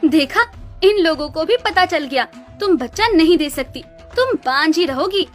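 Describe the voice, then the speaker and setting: A woman's voice: very high voice, animated, moderate pace. A woman voicing a spiteful younger sister cow in a cartoon story, mocking and scornful. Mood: taunting. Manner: taunting, scornful. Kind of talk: Hindi animated moral story dialogue. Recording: music behind the voice.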